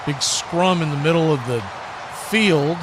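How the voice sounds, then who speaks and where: A man's voice: a little high, measured pace, a crowd in the background. A man calling live football play-by-play as a radio announcer. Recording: clearly noisy background hiss and ambience.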